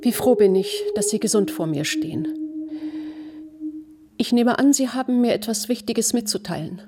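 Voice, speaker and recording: A woman's voice: a little high, lively intonation, moderate pace, heavy background noise, close to an everyday voice. An actress playing a dignified, middle-aged princess and concerned mother, speaking formally in a radio play. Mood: gracious, expectant. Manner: formal, stately. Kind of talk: German radio play acting.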